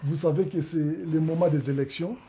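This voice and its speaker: A man's voice: on the high side, steady tone, a little fast, dark tone. A man hosting an interview show, with formal, emphatic introductions. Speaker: talk show host.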